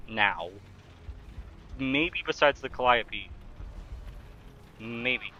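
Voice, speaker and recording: A young man with a bright, forceful tone, chatting loosely on a live game stream. He answers viewer questions as they come in and talks through his moves at the same time. Faint gunfire and engine noise from the game sit quietly behind him. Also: on the low side. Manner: chatty, reads chat aloud and answers while narrating play. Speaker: livestreamer. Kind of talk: casual live-stream chat while gaming.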